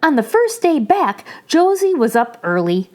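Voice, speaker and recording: A woman reading a children's picture book aloud in an animated storytelling manner. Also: high-pitched, a little slow, faint music behind. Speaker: children's story reader, channel host.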